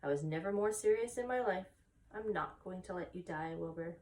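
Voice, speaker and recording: A woman's voice: lowish voice, normal speed, a little mellow, distant mic, natural voice. Storybook spider, played by a woman: female, motherly and wise, firm reassurance, emphatic instructions, heard a little off-mic. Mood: comforting.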